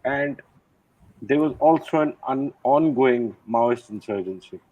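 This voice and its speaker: Older general on a thin call line. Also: male voice, mid-range voice. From India. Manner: measured, reflective, many "uh" pauses. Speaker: retired army general, guest. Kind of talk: interview conversation. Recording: thin phone-like line, dry.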